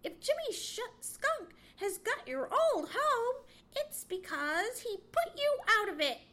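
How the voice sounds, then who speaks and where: A woman puts on a nosy little red squirrel from a children's storybook. The voice is sharp and very high, jumping about as it pries and retorts in snappish disbelief. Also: big pitch swings, takes it slowly, heavily acted. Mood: incredulous, snappish. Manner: persistent, retorting. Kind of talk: children's animal storybook character voice.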